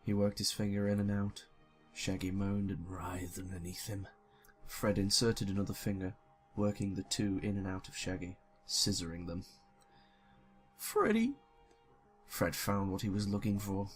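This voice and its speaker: A man's voice: deep voice, flat delivery, normal speed, soft background music. A young man reading a story aloud and talking casually as a comic YouTuber. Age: young adult. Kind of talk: scripted story narration and casual comic commentary.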